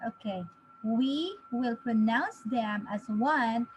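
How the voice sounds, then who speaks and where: A woman in her thirties giving a phonics lesson to young children, encouraging them with drawn-out, exaggerated enunciation. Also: on the high side. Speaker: reading teacher. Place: small indoor home teaching space. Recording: clearly noisy home webcam recording.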